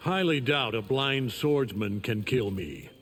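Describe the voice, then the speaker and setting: An actor playing an arrogant, menacing, godlike conqueror in a video game, with a contemptuous, commanding delivery. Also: male voice, mid-range voice, animated, unhurried, loud music behind the voice, natural voice. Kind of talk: video game character acting.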